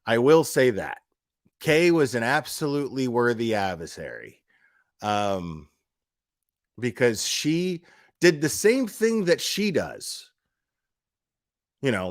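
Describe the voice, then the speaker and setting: A man with an average-pitched voice delivers an unscripted, opinionated rant. He is blunt and swears casually, restating phrases to drive them in. The sound is dry, with little room on it. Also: dry recording. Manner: emphatic, repeats phrases for stress. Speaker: livestream commentator.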